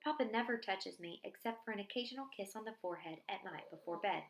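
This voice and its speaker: A woman reading a diary-style story aloud in an expressive narrating style, acting out the dialogue. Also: mid-range voice, fast. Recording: echoey room.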